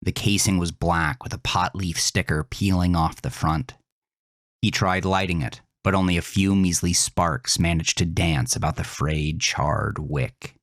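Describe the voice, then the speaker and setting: A man narrating a horror story with slow, intense delivery. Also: low-pitched, steady tone, fairly bright tone, no echo, studio quality.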